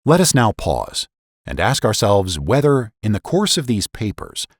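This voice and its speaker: A man narrating a formal historical essay as a dramatic reading, with deliberate, oratorical phrasing and emphatic delivery. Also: lowish voice, very animated, no room sound, studio quality. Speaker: podcast host and narrator.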